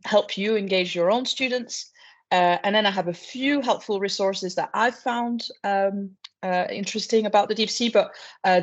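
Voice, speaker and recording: A woman presents a marine science talk over a video call. She explains things patiently, with lots of small "uh"s and "um"s along the way. Her voice sits at a middle pitch, and the audio sounds a little dull and lo-fi. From France. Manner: relaxed, explanatory, with frequent "uh" and "um" fillers. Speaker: marine biology researcher. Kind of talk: online presentation.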